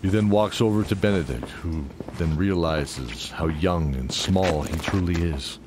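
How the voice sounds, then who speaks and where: A man reads scripted fantasy narration in a dramatic manner. His voice is very deep, with clear ambient noise behind him. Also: unhurried.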